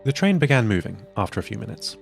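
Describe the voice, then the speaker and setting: A man narrating an audio drama in a calm, measured way. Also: lowish voice, gravelly, music in the background.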